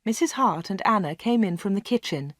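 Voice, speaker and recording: Woman reading a learners' story, clear. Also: medium pitch, animated, no room sound, studio quality. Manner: clear and measured. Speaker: audiobook narrator. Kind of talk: slow read-along storytelling for learners.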